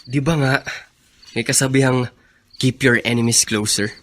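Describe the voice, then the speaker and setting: A man's voice: medium pitch, steady tone, moderate pace, a bit bright, a little hiss or hum, natural voice. A man playing a sly confidant who eggs on schemes in a Filipino radio drama, conversational and insinuating, with a Filipino accent. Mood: sly, goading. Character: sly male confidant, coolly egging on a scheme.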